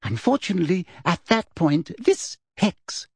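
A man's voice: high-pitched, very animated, a little slow, vocal fry, breathy edge, no echo, studio quality, voice pushed up, full character voice. A man doing a silky, sickly-sweet woman official. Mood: smug. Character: simpering sugary woman official, cruel underneath. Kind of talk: audiobook character voice.

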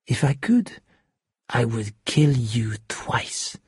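A man voicing an angry, cold gunman in an audiobook, giving hard, clipped, often hushed threats. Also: medium pitch, expressive, a little slow, slightly rough, dry recording, studio quality, exaggerated voice. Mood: cold menace. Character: menacing, angry male gunman, cold and commanding. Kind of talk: audiobook character voice.

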